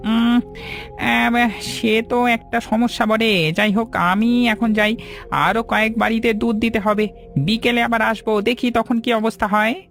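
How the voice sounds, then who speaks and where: A man plays a friendly village milkman in a cartoon, with a notably high male voice. He talks in a consoling, slightly hesitant way, with music faintly behind. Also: monotone, normal speed, creaky, fairly bright tone, exaggerated voice. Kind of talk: animated folk-tale cartoon dialogue.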